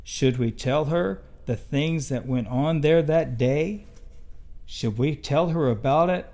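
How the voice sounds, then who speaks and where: A man hosting a children's show, telling stories in an unhurried, expressive way. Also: a little high. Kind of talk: storybook read-aloud and talk to children.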